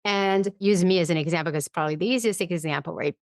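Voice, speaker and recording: Lower-voiced woman, close dry sound. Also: fast talker, no room sound. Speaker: podcast guest, psychologist and author. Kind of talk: conversational interview, explaining with personal examples.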